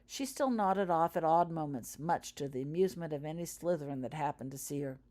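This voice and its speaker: A woman's voice: low-pitched, brisk, a little mellow. A woman reading her own story aloud as scripted audiobook-style narration. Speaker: author reading own fan fiction aloud.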